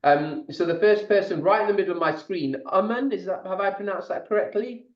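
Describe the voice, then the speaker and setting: A man's voice: high-pitched, fairly quick, reverberant, lo-fi sound. A man hosting an online cook-along, chatting politely with guests over a video call. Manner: polite, asks guests questions. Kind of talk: casual video-call chat.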